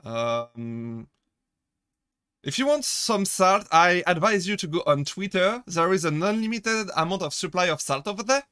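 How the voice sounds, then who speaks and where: A man's voice: high-pitched, expressive, measured pace, sharp tone, smooth, no echo, studio quality. A young man from France with a French accent, chatting casually with his live-stream chat, laughing often and restarting his sentences as he jokes. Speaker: VTuber streamer.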